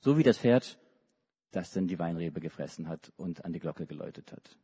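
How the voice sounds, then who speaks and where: A man's voice: deep voice, expressive, moderate pace, mellow, breathy edge. A middle-aged man from Hamburg, a preacher, telling a children's story in a church service with many restarts and hesitations. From Hamburg, Germany. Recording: dull recording in a reverberant hall.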